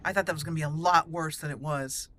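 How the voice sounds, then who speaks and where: A young woman hosting a let's-play, chatting excitedly through a battle and roleplaying dialogue. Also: sits low, fast talker, slight background noise. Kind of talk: casual game commentary and roleplay.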